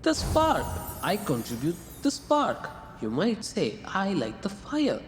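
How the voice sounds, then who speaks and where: A man's voice: high voice, huge pitch swings, slow, slight background noise, heavily acted. An actor playing a breezy male muse who talks in airy, teasing clichés in a radio drama. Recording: reverberant room with music behind.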